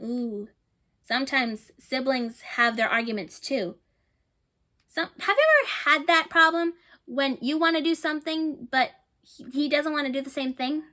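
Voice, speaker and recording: A woman with a high, light voice reads a children's storybook aloud. She pauses to think aloud and to put questions to her young listeners. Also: pushed and bright. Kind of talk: picture book read-aloud with asides to listeners.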